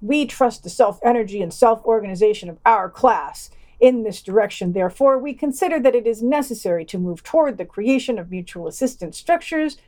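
A woman's voice: on the high side, animated, a little fast, few pauses, darkish tone. A woman reads a scripted news digest as a podcast co-host, adding dry, sardonic asides.

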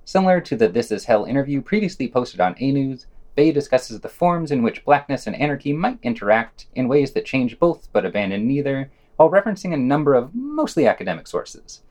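A man's voice: medium pitch, big pitch swings, brisk, hardly any pauses, a little mellow. A man reading a scripted news segment for a podcast.

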